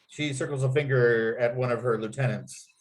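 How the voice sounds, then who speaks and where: A man's voice: medium pitch, fairly even intonation, moderate pace, a little hiss or hum. Man narrating screenplay stage directions. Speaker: narrator and writer.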